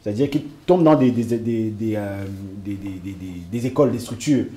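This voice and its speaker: A man, a guest on a televised panel, speaking in a measured, hesitant way with repeated fillers. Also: on the low side, dark tone.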